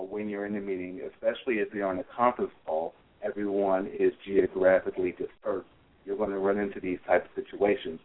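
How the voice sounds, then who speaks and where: A man guesting on a radio advice show, explaining practical tips in a calm way. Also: low voice. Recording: phone-like narrow band.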